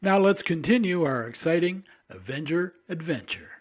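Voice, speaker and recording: Casting: man, channel host, slightly high pitch, scripted announcer read. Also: animated, normal speed.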